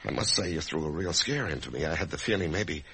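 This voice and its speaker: An actor playing an anxious, death-haunted office man in a radio drama, speaking in a halting, emotional way. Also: a bass voice, lively intonation, sharp tone, a little hiss or hum, exaggerated voice. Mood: uneasy. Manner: halting, emotional, swinging from brooding to agitated. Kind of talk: old-time radio drama acting, first-person narration and dialogue.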